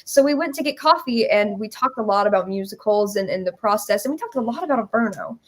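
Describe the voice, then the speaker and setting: A woman's voice: medium pitch, animated, quick pace. A young woman telling a story casually as an interview guest, acting out little bits of dialogue. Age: young adult. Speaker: interview guest, musical writer.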